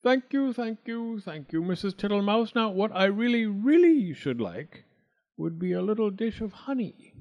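A man voicing a slow, greedy old male toad in a storybook, repeating little sing-song phrases. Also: high-pitched, sing-song, a little mellow, dull audio, pitched above the performer's own voice. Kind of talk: storybook character voice.

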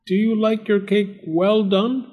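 A suspicious, bumbling landlord from a storybook , as a male reader plays him. His voice is pushed high and jumps about in pitch. He is blustery and emphatic, stretching out the words that matter to him. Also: sing-song. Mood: excited, startled. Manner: exclamatory, emphatic, drawn-out key words.

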